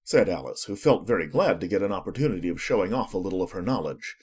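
A man's voice: deep voice, expressive, quick pace, darkish tone, breathy edge. A man narrating a storybook as a scripted audiobook, telling it expressively.

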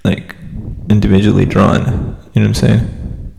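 A man's voice: fairly low pitch, flat delivery, a little slow, vocal fry, dark tone, long reverb tail, slight background noise. A man in his thirties from California, co-hosting a podcast in a hesitant, casual chat with frequent laughs. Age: 30s. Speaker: podcast co-host.

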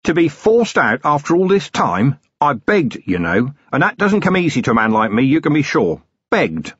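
A man voicing a gruff, weary, middle-aged city police commander, sardonic and full of complaint, for an audiobook. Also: on the high side, sing-song, normal speed, fairly bright tone, no room sound, lo-fi sound, pitched above the performer's own voice, full character voice. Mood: bitter, wounded.

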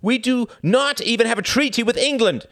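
Guy voicing a fired-up president, raised voice, up high and all over the place. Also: very animated, normal speed, no room sound, studio quality, full character voice. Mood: indignant. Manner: loud, indignant, raised voice. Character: heated, desperate middle-aged male president.

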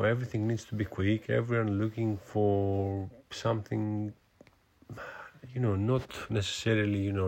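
A man's voice: on the low side, vocal fry. A man with a Greek accent, hosting a solo podcast and talking with many hesitations and fillers. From Greece. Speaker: podcast host.

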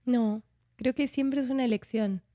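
A woman with a fairly high-pitched voice. She talks in a reflective, conversational way as an interview guest, expressively slipping into bits of imagined dialogue. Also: steady tone, a little slow, dark tone. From Argentina.

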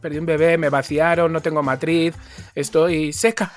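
A man's voice: fairly high pitch, flat delivery, moderate pace, soft background music. A man with an accent from Spain co-hosting a podcast with playful, casual comic banter, often repeating words for emphasis. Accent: Spanish, from Spain. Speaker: podcast co-host.

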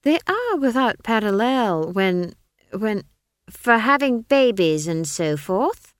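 Woman voicing a pompous old wizard, pitched up, swooping. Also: sing-song, dry recording, studio quality. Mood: genial, patronising. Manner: patronising, unhurried, airy speech. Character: pompous old male wizard, genially condescending, self-satisfied.

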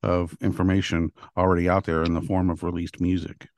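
A man's voice: low-pitched, level tone, brisk, darkish tone, no echo, studio quality. A man hosting a casual interview from his computer desk, speaking hesitantly with many fillers. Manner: hesitant, filler-heavy questions. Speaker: podcast host.

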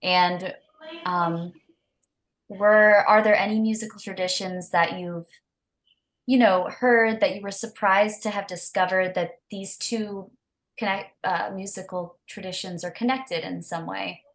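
A woman's voice: mid-range voice, fairly even intonation, unhurried. A woman hosting an interview, asking questions and encouraging her guest with frequent affirmations. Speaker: interviewer host. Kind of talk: conversational interview.

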